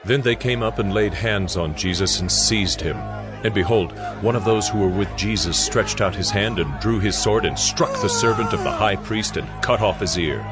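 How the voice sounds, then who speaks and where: Very deep-voiced male scripture narrator over music. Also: over a noisy crowd. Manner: measured, dramatic. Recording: dramatic music behind.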